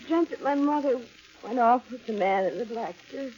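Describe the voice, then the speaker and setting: A woman's voice: fairly high pitch, animated, normal speed, mellow, close to an everyday voice. A woman playing a fragile, haunted young woman, pleading and tremulous in 1940s radio melodrama. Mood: weak, dazed. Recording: noisy, muffled old radio recording.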